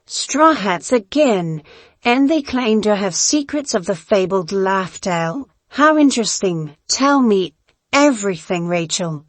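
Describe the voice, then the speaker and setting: Actress as female pirate captain, coolly calculating, slow deliberate delivery, dry close sound, audio drama. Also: mid-range voice, expressive, dry recording. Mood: intrigued. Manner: measured, imperious, formal.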